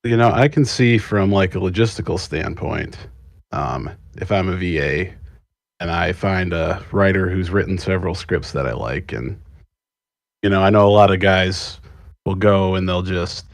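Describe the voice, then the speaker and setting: A man's voice: low voice, moderate pace, gravelly, no room sound, clean studio sound. A man talking casually as a panelist in a podcast discussion. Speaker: panelist, script writer.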